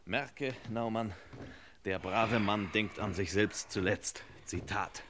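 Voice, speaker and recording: An actor playing a wry, pompous master detective in an old radio crime comedy, in clipped telegram phrases with mock-gallant courtesy. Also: male voice, on the low side, slow, fairly bright tone. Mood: dry, pondering. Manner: clipped telegram phrasing, dropping pronouns, mock-solemn sayings. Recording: reverberant old radio play, faint hiss.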